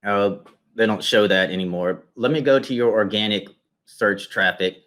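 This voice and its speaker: A man with a slightly deep voice hosts a client interview. He talks conversationally as he presents a shared screen, explaining each item step by step in the manner of a consultant. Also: moderate pace, a bit bright. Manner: explanatory, walks listener through results step by step.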